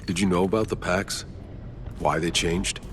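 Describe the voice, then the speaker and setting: A man playing a weary, gruff sci-fi soldier in a video game cutscene, terse and low-key. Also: fairly even intonation, moderate pace, heavy background noise, natural voice. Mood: troubled, curious. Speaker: player character Outrider. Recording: loud music behind the voice.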